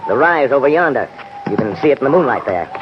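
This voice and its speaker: An actor playing a folksy, excitable frontier cowhand in an old radio western, a man with an American accent. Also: medium pitch, fast, natural voice. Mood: alert, tense. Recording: dull, noisy vintage radio recording.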